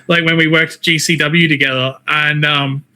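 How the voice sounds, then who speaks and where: An Australian man in a casual interview, with a fairly high, bright and forward voice. He is chatty and informal, speaking in a loose, conversational flow. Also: flat delivery, a little slow, clean tone, rough recording. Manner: chatty, peppers talk with "man" and "like". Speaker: interview guest, wrestler and game streamer.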